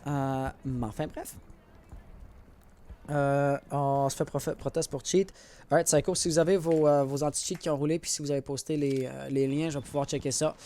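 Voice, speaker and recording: A man's voice: fairly high pitch, fairly even intonation, normal speed, warm tone. A man with a Quebec French accent doing live esports commentary, informal and colloquial, mixing in English gaming slang. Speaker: esports caster. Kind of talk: live match commentary with casual asides to chat. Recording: clear background noise with clicks and gunfire. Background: video game gunfire and clicks.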